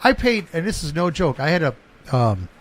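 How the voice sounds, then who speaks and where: A man's voice: fairly high pitch, big pitch swings, normal speed. A man talking casually as a podcast guest, rambling and swearing freely. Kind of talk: casual chat. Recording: faint background noise.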